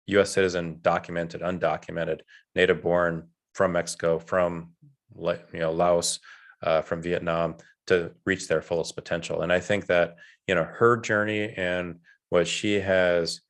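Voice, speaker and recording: A middle-aged man who is a guest on a panel, speaking earnestly with halting restarts. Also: low-pitched. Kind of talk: panel discussion. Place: event stage.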